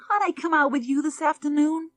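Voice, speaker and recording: Woman acting a spoilt young boy, high voice, whining, aggrieved, drawn-out pleading, audiobook character. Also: close to an everyday voice.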